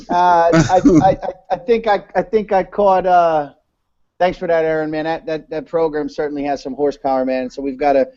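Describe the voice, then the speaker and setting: A man talks through an online webinar in a casual, rambling way, stopping and restarting his sentences often. His voice is fairly high for a man and has a mellow, warm tone. The sound is dull and lo-fi, like a web call. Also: steady tone, a little slow. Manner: rambling, many fillers and restarts. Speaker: webinar presenter.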